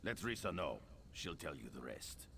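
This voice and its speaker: Very deep, gravelly sergeant. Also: male voice, sing-song, normal speed, bright, forceful tone, a little hiss or hum, heavily acted. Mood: conceding. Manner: blunt, plainspoken. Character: blunt, weary male sergeant, humble sword swinger. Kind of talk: scripted fantasy game dialogue.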